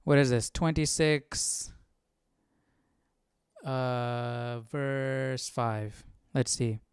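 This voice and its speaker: A man's voice: mid-range voice, fairly even intonation, very slow, clear, resonant tone, no echo, clean studio sound. A man hosting a live Bible study, informal and curious, thinking aloud as he chats and reads. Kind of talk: casual livestream chat and reading aloud.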